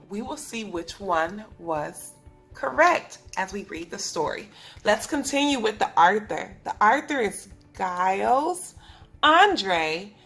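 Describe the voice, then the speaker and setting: A woman teaching preschoolers, reading a picture book aloud in an instructive way, speaking clearly to children and asking them questions. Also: mid-range voice, sharp tone. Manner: instructive, asks the listeners questions, enunciates for children. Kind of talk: read-aloud lesson for young children.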